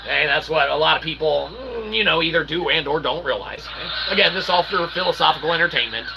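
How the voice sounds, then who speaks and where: A man with a creaky voice delivering a rambling philosophical monologue in verbose, mock-formal wording, constantly tagging phrases with "okay" and "all right". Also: a little high, quick pace, background noise. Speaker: independent researcher and channel host.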